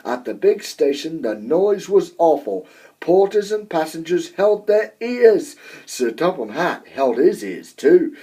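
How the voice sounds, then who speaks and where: A man narrating a children's story aloud in an expressive storytelling style. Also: a little high, a little slow, husky. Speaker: amateur story reader.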